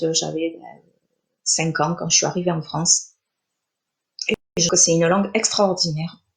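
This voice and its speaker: A middle-aged woman born in Armenia, an author chatting on a live stream, speaking with many pauses, fillers and restarts. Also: medium pitch. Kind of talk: casual live-stream interview.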